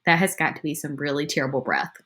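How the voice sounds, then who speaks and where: A woman with a fairly low voice reads a children's picture book aloud. She tells it expressively, as a teacher would, and speaks to her young listeners in simple, chatty asides. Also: fast talker, a bit bright. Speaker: elementary school teacher reading aloud.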